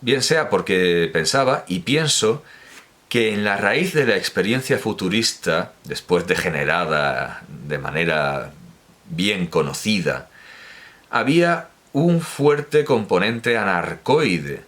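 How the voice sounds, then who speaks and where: Deep-voiced man rambling about art. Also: expressive, takes it slowly, fairly bright tone. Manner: rambling asides with frequent fillers and self-corrections. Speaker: host. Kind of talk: casual lecture on art history.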